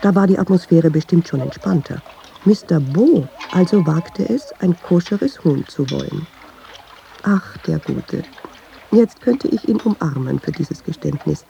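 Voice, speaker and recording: Radio play woman, very dark creaky voice, brooding n wry, musing to herself, bit dull recording w faint noise. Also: low-pitched, expressive, unhurried, full character voice. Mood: reflective, uneasy. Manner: reflective, short clipped sentences, musing. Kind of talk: literary radio play monologue and dialogue.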